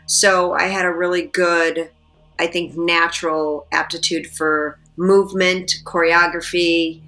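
Bright-voiced middle-aged woman on a video call. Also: on the low side, little pitch movement, measured pace. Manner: thoughtful, explanatory, with filler words. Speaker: guest, actress and martial artist. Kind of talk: interview answers. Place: indoors, plain room.

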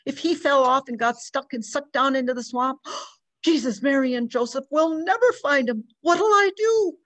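Woman playing a frantic farm mother, high pleading voice, loud and breathless, dry close sound. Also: normal speed, dry recording. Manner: pleading, breathless, rising to wails. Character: frantic mother in her thirties, panicked, wailing.

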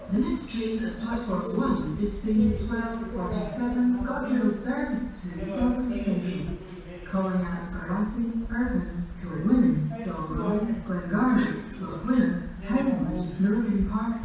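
Echoing female station announcer, slow and distant. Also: medium pitch. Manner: formal, clipped, segmented phrasing. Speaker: automated railway station announcer. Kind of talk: stitched public-address train announcements. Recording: distant, very echoey, noisy station PA recording.

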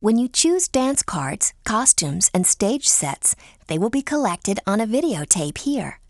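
An actress playing an upbeat, encouraging teenage girl who gives clear scripted instructions and praise in a game. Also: medium pitch, sing-song, measured pace, darkish tone, dry recording, clean studio sound. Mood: helpful, upbeat.

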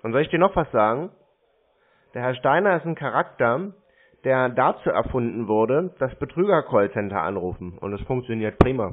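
A man's voice: mid-range voice, animated, moderate pace. Laconic man on a tinny phone line. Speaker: scam baiter taking calls. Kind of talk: casual phone conversation. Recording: muffled tinny phone-like audio.

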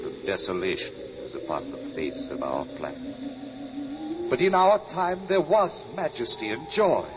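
An actor playing the solemn last survivor of a peaceful race in a 1950s radio drama, reverent and archaic in delivery. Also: male voice, medium pitch. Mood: mournful grand. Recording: muffled old radio recording with music.

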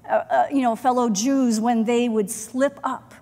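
A middle-aged woman pastor giving a sermon from the pulpit in a church sanctuary, measured and earnest, with deliberate pauses. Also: on the high side, fairly even intonation, mellow. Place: church sanctuary, in front of the chancel. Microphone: pulpit microphone, far camera. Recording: long church hall reverb.

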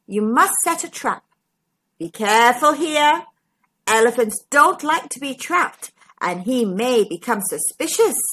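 Animated, high-voiced woman reading to children. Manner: animated, emphatic, addressing young children. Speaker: children's storytime host and narrator. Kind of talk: expressive children's picture book read-aloud.

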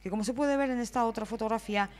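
A woman narrating a news report as a scripted voice-over, calm and even. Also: a little high, rapid-fire.

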